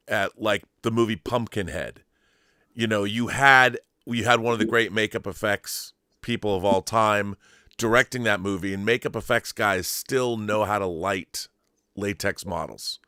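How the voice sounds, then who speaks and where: A man's voice: on the low side, moderate pace, sharp tone, dry recording, studio quality. A man chatting casually and enthusiastically as the host of an interview. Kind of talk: casual chat.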